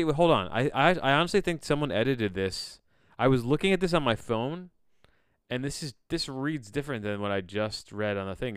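Rambling, incredulous man with a clean, smooth voice. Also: medium pitch, no echo, studio quality. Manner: rambling, incredulous, sardonic asides; casual swearing. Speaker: podcast host. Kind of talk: casual solo commentary while reading a plot synopsis aloud.

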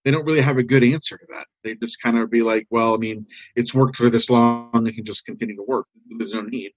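A man with an American accent giving earnest opinions in casual conversation, in a halting, rambling way. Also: mid-range voice, steady tone, dull audio.

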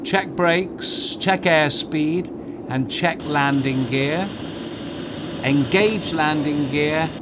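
A man's voice: fairly high pitch, extremely slow. A man reading scripted narration as a host, giving step-by-step instructions straight to the listener as if guiding them through a flight. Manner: instructive, addresses listener directly. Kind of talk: scripted second-person guided flight narration. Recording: steady aircraft cabin noise behind. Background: aircraft ambience and engine hum.

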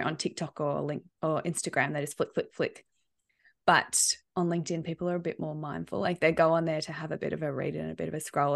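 A woman's voice: sits low, vocal fry. A woman hosting a podcast in a casual, agreeable chat, laughing often. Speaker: podcast host.

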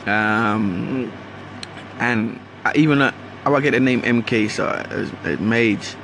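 A man's voice: medium pitch, unhurried, a bit bright, slight background noise. A man from Guyana with a London accent that keeps Caribbean traces, talking casually and rambling as he hosts his own podcast. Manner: rambling, slangy, restarts often. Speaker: podcast host. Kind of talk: casual solo monologue.

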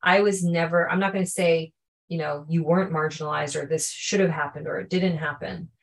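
A woman speaks as a podcast guest. Her answers are thoughtful and earnest, and she often pauses mid-sentence to find the right word. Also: low-pitched, steady tone, vocal fry. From Canada. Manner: thoughtful, earnest, searching for words. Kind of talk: reflective interview answers.

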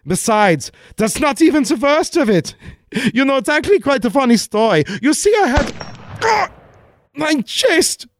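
An actor playing a fussy, scheming German villain in a comic 1940s-style radio play, with a German accent and theatrical, long-winded gloating. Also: male voice, very high-pitched, very animated, moderate pace, dry recording. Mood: gloating, chatty. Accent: put-on German. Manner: theatrical, hesitant, over-explaining gloating.

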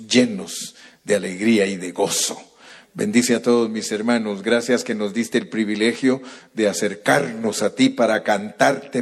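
A man's voice: on the low side, slightly rough. A man preaching a sermon at a night church service and then leading a fervent prayer. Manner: slow, emphatic preaching, rising to fervent prayer.

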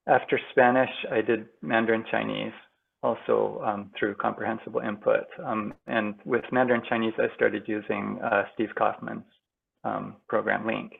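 A man's voice: on the low side, vocal fry, husky. Hesitant man on a tinny call line. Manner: hesitant, many ums and restarts. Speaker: guest. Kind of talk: casual interview answers. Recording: tinny phone-like call audio.

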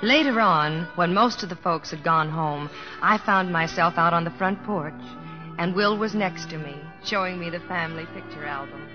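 A woman's voice: on the low side, normal speed, close to an everyday voice. An actress playing a prim, polite, slightly flustered young small-town woman in old radio drama style. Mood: reminiscing. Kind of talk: 1940s radio acting. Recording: muffled old radio broadcast, music behind.

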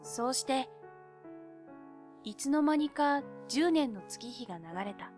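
A woman's voice: high-pitched, darkish tone, faint music behind. A woman voicing an earnest, determined boy who narrates his own tale wistfully in an audio drama. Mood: reflective. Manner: boyish, wistful, measured. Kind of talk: scripted first-person drama narration.

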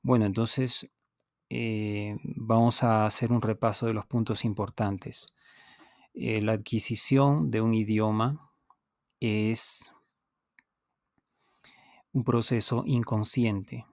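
A native Spanish-speaking man talks at a very slow pace in a fairly low voice, recorded dry and close. He sounds like a teacher hosting his own podcast, explaining each point deliberately, pausing, repeating and addressing the listener directly. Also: steady tone, darkish tone, dry recording. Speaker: podcast host and language teacher. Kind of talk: unscripted instructional podcast monologue.

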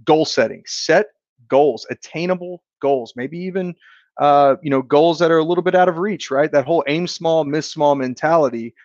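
An earnest man in his thirties talks through his career in a relaxed interview, reflective and often restarting his sentences. The sound is dry and a little dull, with almost no room around the voice. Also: fairly high pitch, moderate pace, no echo. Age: 30s. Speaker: insurance agency owner, guest. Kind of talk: casual business interview.

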